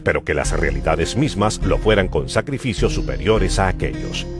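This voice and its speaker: A man's voice: low-pitched, lively intonation, moderate pace. A man giving a solemn, emphatic dramatized narration of scripture as a Bible narrator. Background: music.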